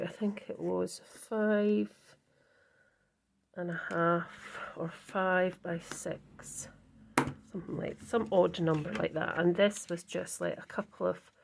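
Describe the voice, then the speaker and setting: A woman hosting her card-making channel, chatting casually through a crafting tutorial with a croaky voice, rambling and correcting herself as she goes. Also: lowish voice, darkish tone, slight background noise. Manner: rambling, self-correcting, many ums. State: croaky, husky voice.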